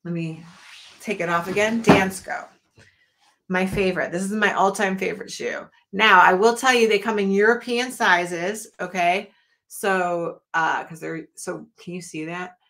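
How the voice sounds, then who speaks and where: A woman's voice: fairly low pitch, steady tone, a little slow, creaky, a bit bright. A middle-aged woman chatting casually with viewers on a live art stream, rambling and talking straight to them, losing her voice a little. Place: home art studio, indoors.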